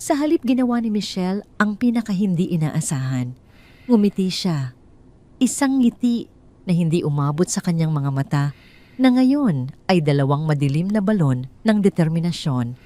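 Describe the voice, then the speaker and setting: Low-voiced Filipino woman narrating a story. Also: very animated, normal speed, dark tone. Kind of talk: scripted dramatic story narration.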